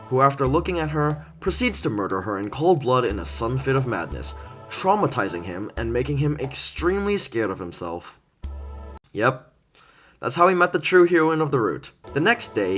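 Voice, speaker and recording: A man narrating a scripted review as host, pausing and stressing words for effect. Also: mid-range voice, fairly bright tone. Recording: music behind the voice. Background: background music.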